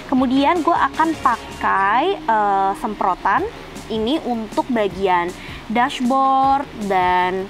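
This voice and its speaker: A woman in her twenties with a Jakarta accent, presenting a casual how-to video to the camera in a chatty, slangy way with frequent "eh" fillers. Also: mid-range voice. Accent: Jakarta Indonesian. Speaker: car channel presenter. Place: outdoors by a car in a residential driveway. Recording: music playing behind the voice.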